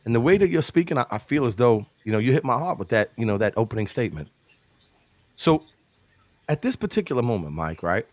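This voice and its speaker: A man's voice: medium pitch, very animated, fast talker, darkish tone, no room sound. A man from New York hosting a casual interview show, hyping his guest, laughing often and calling him brother. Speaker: show host.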